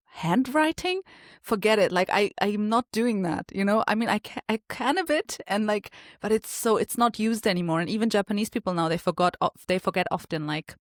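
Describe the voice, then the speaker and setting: A woman's voice: medium pitch, no echo, studio quality. A woman from Germany hosting a podcast, chatting casually and filling her talk with "like" and "you know". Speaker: podcast host. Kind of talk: casual interview conversation.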